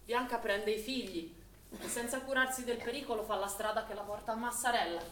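An actress playing a solemn narrator of a wartime tragedy, with measured, urgent theatrical delivery. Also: female voice, on the high side, steady tone, fairly bright tone, recorded from a distance, close to an everyday voice. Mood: grave, urgent. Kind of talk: dramatic scripted stage narration. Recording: echoey, slightly noisy, off-mic recording.

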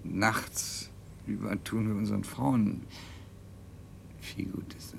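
Smug lofty scientist man, very gravelly lowish voice, stilted old radio play, slight rumble. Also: steady tone, slow pace, exaggerated voice. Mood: self-satisfied, lofty. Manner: stilted, pompous aphorisms. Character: lofty, self-satisfied male scientist preaching humanity. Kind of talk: 1970s German radio play acting. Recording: 1970s radio recording with low rumble.

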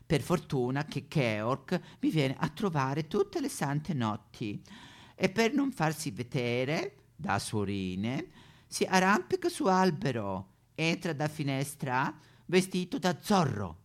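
A man's voice: a little high, wildly swooping pitch, takes it slowly, voice pushed up, exaggerated voice. A man playing an elderly retired German pope in a satirical stage monologue, with a German accent, mock-solemn sing-song preaching and petulant complaints. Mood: wistful, deadpan. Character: elderly retired German pope, whiny, pious but foul-mouthed.

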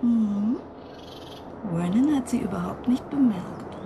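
A woman's voice: a little high, lively intonation, slow, noisy background. An actress in a German radio play voicing a discontented, reproachful middle-aged wife who is scornful of her husband. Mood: intimate, hushed.

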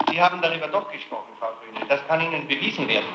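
A man's voice: a little high, expressive, quick pace. A man interrogating someone formally, accusing and insistent, on an old archival tape. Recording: muffled, echoey, noisy archival interrogation tape.

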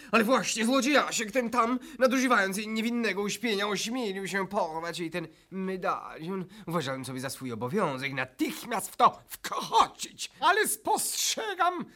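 An actor playing a foppish, flirtatious count with pompous, florid, mannered courtesy in a theatrical radio play. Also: male voice, high voice, animated, normal speed, few pauses, pushed and bright. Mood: indignant, grandiose. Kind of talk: theatrical radio drama acting.

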